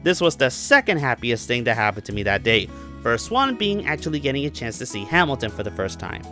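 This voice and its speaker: A man's voice: medium pitch, sing-song, a little fast, pushed and bright. A man narrating a scripted but casual, profane film review in an emphatic, opinionated way. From Puerto Rico. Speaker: movie reviewer. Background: music behind the voice.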